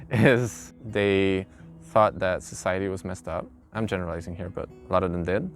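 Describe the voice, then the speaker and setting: A man, an English speaker, telling his own story informally in an interview. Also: low voice. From Belgium. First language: not English. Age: early twenties. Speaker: interviewee, carpenter. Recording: clear background noise, ambient sound.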